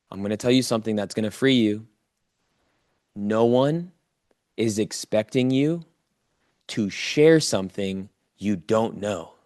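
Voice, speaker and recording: A man's voice: lowish voice, darkish tone, no echo, clean studio sound. A man giving a motivational coaching talk to a live online audience, speaking informally and directly to listeners and repeating key phrases for emphasis.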